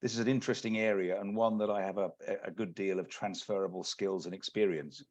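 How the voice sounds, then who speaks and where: A man from the UK with a British accent, giving a measured, businesslike webinar presentation as an executive search partner. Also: low voice, fairly even intonation, darkish tone. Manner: measured, businesslike, many restarts. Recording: lo-fi video call audio.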